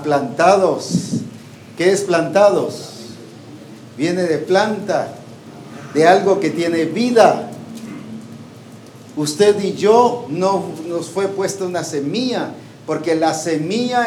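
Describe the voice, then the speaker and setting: A man's voice: sits high, a little mellow. A man preaching a sermon to a congregation, often asking them questions and waiting for their answers. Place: church hall with a congregation. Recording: echoey hall with faint background noise.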